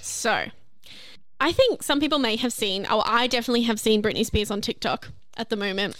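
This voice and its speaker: A woman's voice: fairly high pitch, a little fast, fairly bright tone, faint music behind. A young woman, co-hosting a podcast and reading notes aloud in a rambling, chatty way that often stops and restarts. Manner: rambling, chatty, self-interrupting. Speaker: podcast co-host.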